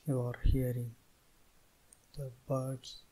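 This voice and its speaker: Very slow-speaking man guiding meditation. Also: mid-range voice, flat delivery, mellow, poor audio. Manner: soothing, deliberate, short phrases with long pauses. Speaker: meditation guide. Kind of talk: guided meditation instruction.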